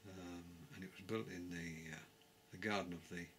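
An older man on a sofa in his living room gives an unscripted history talk to a close webcam. He speaks calmly and pauses to find his words. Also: sits low. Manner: calm, measured, pauses to search for words. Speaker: travel vlogger. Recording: clear background noise.

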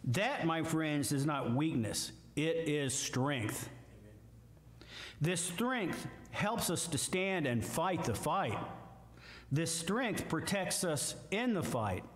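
An older man with a Midwestern American accent, a guest preacher giving a slow, emphatic sermon with scripture readings from a church lectern. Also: on the high side, animated. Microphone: lectern mic, far camera. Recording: echoey church hall from far off.

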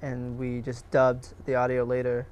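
A man's voice: mid-range voice, completely flat delivery, moderate pace, a little mellow, smooth. A young man, a student filmmaker, answering interview questions casually in a hesitant, self-deprecating way. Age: young adult.

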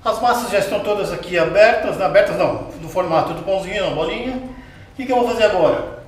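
A man with a Brazilian Portuguese accent hosting a cooking show, explaining each step in a relaxed, chatty way and asking viewers questions as he works. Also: high voice, fast talker, a little hiss or hum. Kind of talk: casual cooking demonstration. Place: home kitchen with tiled wall, indoors. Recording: roomy kitchen sound from a distance.

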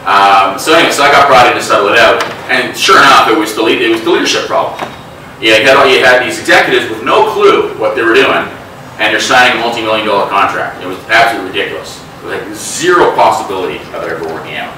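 Animated middle-aged man, echoey meeting room. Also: lowish voice, fast. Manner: animated, gestures a lot, many fillers and asides. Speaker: speaker. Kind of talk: interactive talk at a meetup. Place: hotel meeting room with a small group. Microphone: lapel mic, filmed from across the room. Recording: echoey room, from a distance, faint hiss.

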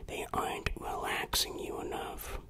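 Someone whispering in a breathy, hushed and confiding way, performing an ASMR roleplay as a shady dealer, close to the microphone. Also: unhurried.

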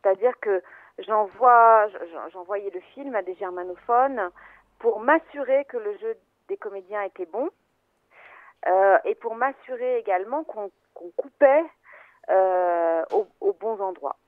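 A woman's voice: mid-range voice, measured pace, dry recording. Woman on a tinny phone line. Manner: hesitant, many fillers and restarts, thoughtful. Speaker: film editor, interview guest. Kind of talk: interview, explaining her work.

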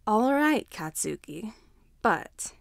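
A woman voicing a stern, weary male daycare teacher in a story reading, firm and deadpan. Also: mid-range voice, slow, gravelly, dry recording, clean studio sound, exaggerated voice.

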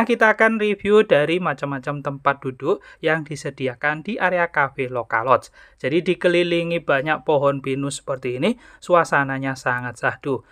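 A man's voice: mid-range voice, pushed and bright, dry recording, studio quality. A man narrating a travel vlog as a voice-over, guiding viewers instructively and addressing them as friends. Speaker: travel vlogger.

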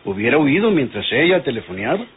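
An actor playing a polite, methodical police inspector in an old radio drama, on a tinny, noisy recording. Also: male voice, mid-range voice, very animated, fast talker, close to an everyday voice. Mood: probing, courteous. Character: courteous, probing male police inspector. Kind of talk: old Spanish-language radionovela acting.

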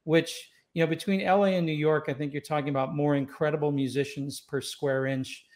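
A man's voice: a little high, level tone, brisk, a little mellow. A man in his sixties, born in Scotland and raised in Los Angeles, telling stories as a musician guest in an easygoing, reflective way, with plenty of fillers and restarts. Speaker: saxophonist guest. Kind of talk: relaxed storytelling interview. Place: home room with wooden doors and shelves. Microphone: studio mic on boom arm, video call.

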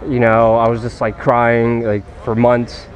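A man's voice: medium pitch, monotone, measured pace. A man reflecting casually and personally in a vlog, speaking outdoors into a handheld camera. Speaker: vlogger being interviewed. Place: grassy park outdoors.

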